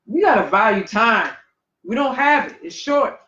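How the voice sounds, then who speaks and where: High-voiced man, emphatic, echoey lo-fi. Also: animated, normal speed, sharp tone. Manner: emphatic, says "man" often. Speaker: podcast co-host. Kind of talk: casual chat. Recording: echoey, dull lo-fi livestream audio.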